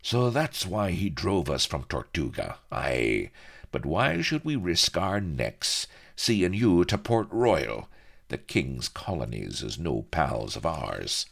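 A man voicing a grim, shrewd pirate captain with rough rustic sea-dog speech in an audiobook. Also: extremely low pitch, sing-song, slow, a bit bright, voice pushed up, full character voice. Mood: thoughtful, cynical.

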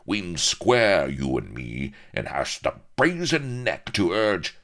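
A man voicing a big, furious, blustering pirate who howls in rough rustic dialect, in a storybook reading. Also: low-pitched, wildly swooping pitch, slow, a bit bright, voice pushed up, heavily acted. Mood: outraged bluster. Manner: howling, dialect-heavy bluster.

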